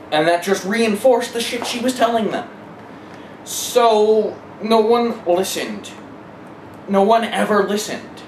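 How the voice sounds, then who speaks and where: Emphatic speaker in a noisy, echoey room. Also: medium pitch. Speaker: interviewee giving testimony. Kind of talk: personal testimony in an interview. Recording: echoey room, clear background noise.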